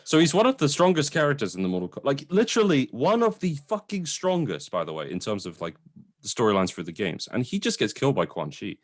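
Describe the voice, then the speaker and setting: A man's voice: medium pitch, huge pitch swings, fast talker. A man co-hosting a casual, conversational film review, informal and sometimes sweary as he qualifies his opinions.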